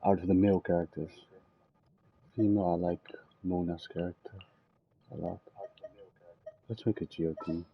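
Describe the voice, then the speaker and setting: A young man giving casual, halting gameplay commentary as he thinks aloud. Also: deep voice, fairly even intonation, creaky, dull audio, a little hiss or hum. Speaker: game streamer.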